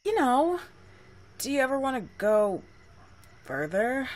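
A woman voicing a restless, impulsive teenage tomboy girl from the 1950s in a comic dub, speaking in a casual, expressive, slangy way. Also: sits high, a little slow. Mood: exasperated.

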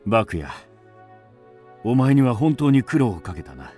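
Man acting earnest swordsmith, animated mid-pitched voice. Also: normal speed, soft background music, natural voice. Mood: obsessed, weary. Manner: earnest, intense. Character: loyal, driven master swordsmith. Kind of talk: radio drama acting.

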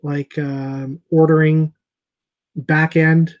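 A middle-aged man hosting a casual video call chat as a comic shop owner, thinking aloud with many pauses and fillers. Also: fairly high pitch, level tone, darkish tone, highs cut off. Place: small room with shelves of comics behind. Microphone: earbud headset on a video call.

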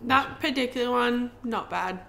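A woman's voice: a little high, normal speed, gravelly, slight background noise. A woman in her twenties giving casual reaction commentary as she plays a game indoors. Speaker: gaming channel host. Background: loud game music.

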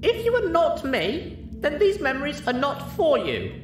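A man's voice: very high voice, wildly swooping pitch, measured pace, echoey room, distant mic, background noise, pitched above the performer's own voice, heavily acted. A man voicing an earnest, anxious young female pony in a story, with a strained, hesitant delivery. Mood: awkward, instructing.

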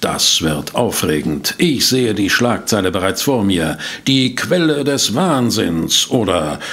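A man playing an eager, cheeky tabloid reporter in an audio drama, with an excitable, dramatic manner. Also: on the low side, takes it slowly, full character voice. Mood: eager, excited. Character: eager, sensationalist male newspaper reporter, cheeky. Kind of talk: German audio drama acting.

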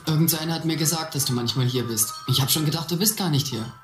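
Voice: medium pitch, fast, lightly acted. A performer playing a naive, unsure schoolboy in a radio play. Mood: curious, unsure. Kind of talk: German radio play acting. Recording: roomy, music behind.

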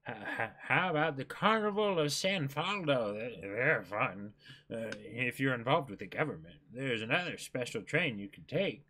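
A man voicing a friendly old woman, a station master, halting and informative in a manga reading. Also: medium pitch, lively intonation, bright, forceful tone.

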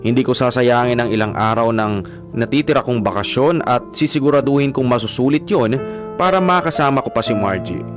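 A man's voice: mid-range voice, a little fast, natural voice. An actor playing an earnest young son, narrating his own story in a radio drama. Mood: reflective. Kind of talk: scripted first-person drama narration. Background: guitar music.

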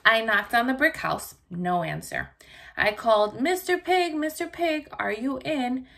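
Storybook wolf, read by a woman: highish, very lively pitch, chatty and mock-innocent, defending himself. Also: creaky, heavily acted. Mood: confiding, self-justifying. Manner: conversational, dramatic, drawn-out emphasis. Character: self-justifying wolf telling his side, folksy and mock-innocent. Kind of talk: expressive children's picture-book read-aloud.

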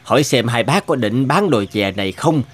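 An actor playing a polite, hesitant land buyer in an animated drama, speaking in a courteous, halting way. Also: male voice, mid-range voice, natural voice. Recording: music behind the voice.

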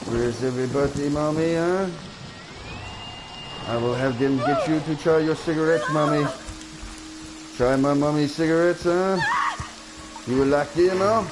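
A chatty, put-upon masked slasher with a thick mock French-Canadian accent, as a man plays him up in voice chat. He pitches his voice high and hangs a "huh?" or "eh?" on nearly every phrase. Loud game music pounds behind him. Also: unhurried, long pauses, lightly acted, low-quality recording. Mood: indignant whining. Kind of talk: in-character voice chat during a multiplayer horror game.

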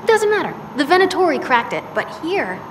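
An actress playing an excitable female dwarf arcanist who talks in chatty, rambling bursts. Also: high voice, a little fast, background noise.

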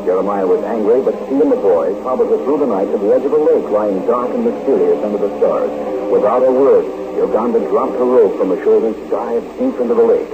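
A man giving a dramatic, measured narration recap for a radio serial. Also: lowish voice. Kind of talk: scripted narration. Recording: tinny, loud hiss, old radio.

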